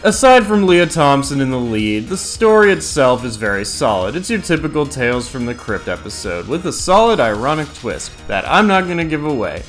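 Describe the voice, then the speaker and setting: Guy doing a video countdown review, sweary n sarcastic, really animated pitch, bit of music behind. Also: moderate pace, hardly any pauses. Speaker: host of a video countdown of horror TV episodes. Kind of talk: casual video review commentary with recaps.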